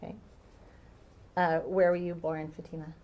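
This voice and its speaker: Gently questioning woman lawyer. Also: low voice, flat delivery, normal speed, highs cut off. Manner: patient, gentle questioning with fillers. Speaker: prosecutor. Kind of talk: courtroom questioning. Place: courtroom.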